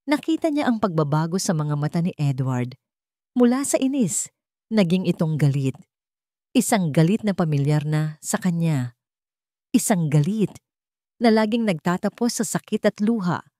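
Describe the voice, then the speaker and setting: Low-pitched woman narrating a dramatic story. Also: expressive, moderate pace, pauses often, mellow, dry recording, studio quality.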